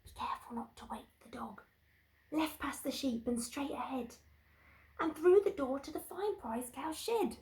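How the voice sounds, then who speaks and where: A woman voicing a small, earnest ladybird in a storybook, softly and carefully passing on a secret. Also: high voice, lively intonation, measured pace, pitched above the performer's own voice. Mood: earnest, secretive.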